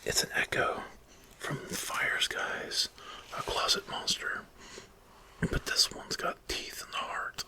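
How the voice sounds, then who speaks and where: A man's voice: slow pace, lightly acted. A man voicing a brooding, protective male furry hero in a grave, terse whisper for an ASMR story. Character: brooding crimson-furred shadow-wielding male hero, defiant, protective.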